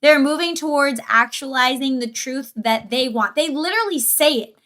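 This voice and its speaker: A woman's voice: high voice, moderate pace, fairly bright tone. A woman hosting a livestream, giving casual, opinionated reaction commentary in an emphatic way with rhetorical questions. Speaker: livestream host.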